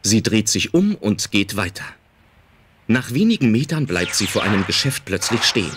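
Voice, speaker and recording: A woman's voice: a bass voice, sing-song, normal speed, noisy background. A woman reading scripted narration as the storyteller of a radio play.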